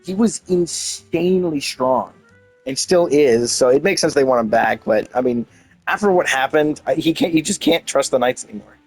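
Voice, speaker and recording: A man's voice: fairly high pitch, expressive, moderate pace. A man giving casual, informal commentary on a video game as he plays, rambling and searching for words. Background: game music.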